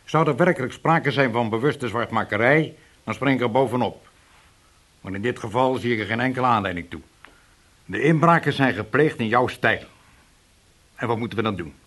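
An actor playing a senior police chief in a Dutch radio play, fatherly but unbending, speaking with blunt, measured authority. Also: medium pitch, a bit bright, natural voice.

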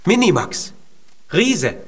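A little giant from a children's radio play, small in size and big in determination. The voice sits fairly high and moves up and down with great liveliness, at a measured pace. He sounds naive, earnest and hopeful. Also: wildly swooping pitch, slightly rough, heavily acted. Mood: wary, curious. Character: tiny male giant, naive, plucky and hopeful.

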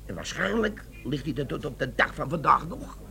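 An actor playing a gruff, bossy army bomb-disposal sergeant in a Dutch radio play. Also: male voice, on the high side, very animated, normal speed, bright, forceful tone, exaggerated voice. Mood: storytelling, dramatic.

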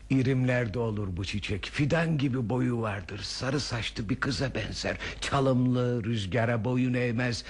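A man's voice: mid-range voice, husky. An actor playing a dreamy, nostalgic, stubborn elderly husband in a radio play, lingering wistfully over his descriptions. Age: older. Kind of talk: Turkish radio theatre acting.

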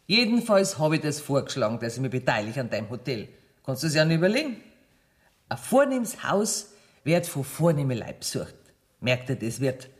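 A woman's voice: rumbling low, very animated, normal speed. An actress with a Bavarian accent playing a bossy, calculating businesswoman with money in a radio play. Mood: bossy, scheming. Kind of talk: radio play acting in dialect.

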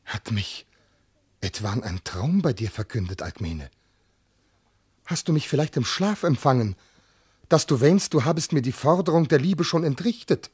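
A noble commander and husband, jealous and bewildered. The voice is very raspy and swings widely in pitch, with formal, intense declamation fit for a classical radio play. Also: male voice, big pitch swings, moderate pace, long pauses, darkish tone. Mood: shocked, incredulous. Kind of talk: classical verse radio drama acting.

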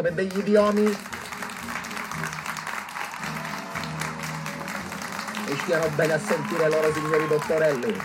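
An actor playing a pompous, bombastic small-town official, orating grandly in a satirical radio drama with mangled flowery rhetoric. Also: male voice, high-pitched, lightly acted. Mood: indignant.

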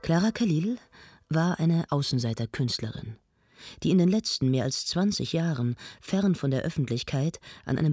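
An actress playing an earnest arts presenter, narrating a scripted mock documentary in a measured, formal, literary manner. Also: female voice, low-pitched, dark tone, slightly rough, no echo, studio quality, heavily acted. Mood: measured, serious.

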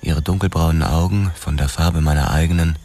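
An actor playing a brooding, hard-boiled investigator in a noir radio drama, sombre, measured and restrained. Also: male voice, steady tone, dark tone, a little hiss or hum. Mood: sombre, reflective. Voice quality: very deep. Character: brooding, hard-boiled male investigator narrating his own story. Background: music.

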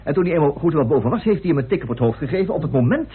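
An excitable, bluff committee man in a radio play. His highish voice leaps about emphatically, on a tinny old recording with some noise. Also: very fast, husky. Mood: amused. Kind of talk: radio drama acting.

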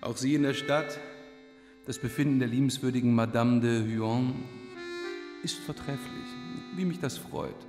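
A man's voice: medium pitch, unhurried, in a large hall, music in the background, natural voice. An actor playing a genial, somewhat wistful king in his thirties in a radio play, courtly and formal with small hesitations. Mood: genial, welcoming. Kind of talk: literary radio play dialogue.

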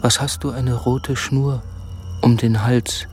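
An actor playing a tormented, brooding murderer in a radio drama, speaking haltingly and often dropping into muttered whispers. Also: male voice, mid-range voice, little pitch movement, darkish tone, soft background music, natural voice. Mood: dazed, eerie. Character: tormented, brooding man turned murderer of his lover. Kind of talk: literary radio drama acting.

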